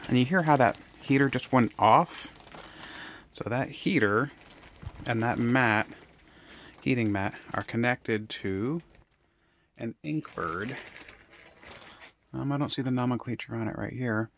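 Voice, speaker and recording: A man's voice: mid-range voice, a little slow, long pauses, a bit bright, a little hiss or hum. A man giving a casual, unscripted tour as a home gardener, rambling and thinking aloud as he goes. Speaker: home gardener showing his grow room. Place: indoor basement grow room.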